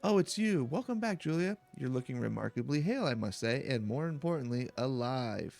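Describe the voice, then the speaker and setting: A man's voice: mid-range voice, expressive, fairly quick, vocal fry, darkish tone, soft background music. A man voicing a fussy, effusive scholar woman from a fantasy game, wordy and theatrical. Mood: delighted.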